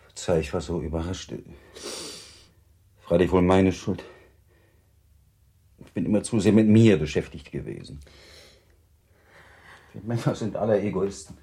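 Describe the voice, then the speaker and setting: An actor playing a reserved, indulgent older husband in a radio play, speaking in a measured, dryly ironic way. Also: male voice, sits low, expressive, creaky, mellow, full character voice. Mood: apologetic. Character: older husband, reserved civil servant, indulgent, self-deprecating. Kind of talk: German radio play acting.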